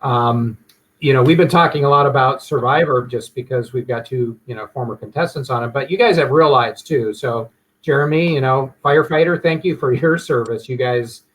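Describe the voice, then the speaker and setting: A middle-aged man from Hawaii talks as an eye surgeon guest on afternoon radio. His chat is friendly and easygoing and slides into promotional talk. His pitch sits in a middle range. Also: normal speed, fairly bright tone, husky, rough recording.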